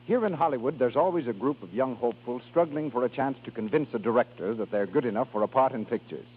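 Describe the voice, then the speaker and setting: A man announcing in a scripted 1930s radio style, delivering continuity and sales pitches. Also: fast, slight background noise. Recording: tinny 1930s radio recording.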